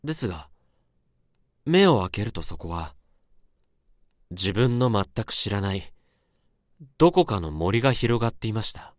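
A man's voice: fairly low pitch, very animated, a little slow, pauses often, dry recording, studio quality. A man acting as the haunted narrator of a radio horror drama, recounting an eerie dream in a dramatic monologue. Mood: dazed, quiet. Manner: dramatic, swelling from hushed to intense. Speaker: narrator and main character. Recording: storm and rain noise behind.

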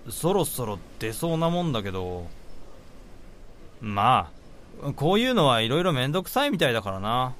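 Radio drama role, male actor: casual, concerned male senior at the office, medium pitch, animated and brisk. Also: slight background noise. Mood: matter-of-fact. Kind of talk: Japanese radio drama acting.

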